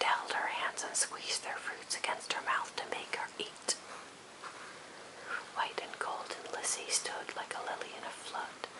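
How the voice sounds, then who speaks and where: A woman reads narrative verse entirely in a whisper, with hardly any voice behind the breath. It is an ASMR-style poetry reading, breathy throughout. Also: poor audio. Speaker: reader of a narrative poem.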